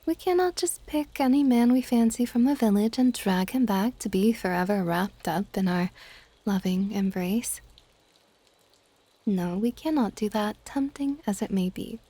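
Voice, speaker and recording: A woman playing an older dragon goddess in an ASMR roleplay, soft-spoken and formal, stately and gently exasperated. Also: medium pitch, unhurried, dry recording. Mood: gently scolding. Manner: soft-spoken, breathy asides, formal wording.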